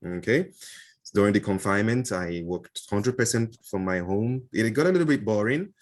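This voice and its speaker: A man with a fairly low voice talks loosely as an interview guest, stopping often for "um" and "uh" and restarting his sentences. His is Igbo, and he is heard through a video call. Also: lively intonation, normal speed. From Nigeria. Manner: hesitant, many fillers and restarts, chuckles often. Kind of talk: casual interview answers.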